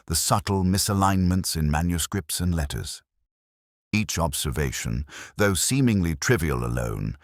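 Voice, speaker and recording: A man narrating a scripted first-person detective mystery in a measured, solemn, literary manner. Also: rumbling low, no room sound, clean studio sound.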